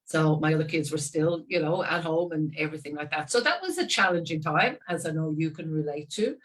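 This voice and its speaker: A woman's voice: low voice, fairly quick. Irish woman in her sixties, distant mic. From Ireland. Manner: lively, laughs often. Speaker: guest expert. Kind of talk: casual conversational interview.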